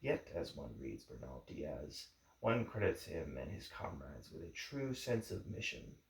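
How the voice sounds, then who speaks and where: A man reading a history book aloud in a measured, formal way, with deliberate phrasing. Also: a bass voice, big pitch swings. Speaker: book reader. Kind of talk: audiobook-style reading of a history book introduction. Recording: echoey room.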